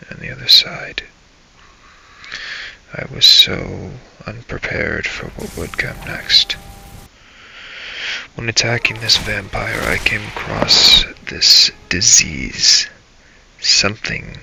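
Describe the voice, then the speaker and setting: A man hosting a gaming series, narrating a scripted first-person story in a brooding, halting, dramatic way. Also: low voice, creaky, bright, forceful tone, slight background noise, low-quality recording. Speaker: host of a role-play gaming series.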